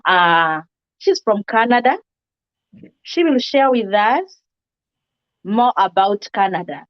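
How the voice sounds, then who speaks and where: A woman's voice: fairly high pitch, highs cut off. A woman hosting an interview in a casual, upbeat way, greeting guests and viewers. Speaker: host.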